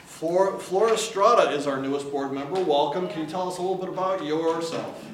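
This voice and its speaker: A man chairing a board meeting, brisk and procedural with light joking. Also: a little high, noisy background. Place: meeting room. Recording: roomy hall, distant mic.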